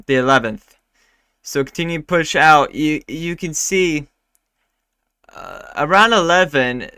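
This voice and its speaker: A man with a bright, crisp voice runs a casual live weather discussion, stopping often for fillers and restarts. His voice is still recovering from a bout of lost voice, and the sound is dry and close. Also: fairly high pitch, dry recording. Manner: halting, many fillers and restarts. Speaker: weather livestream host.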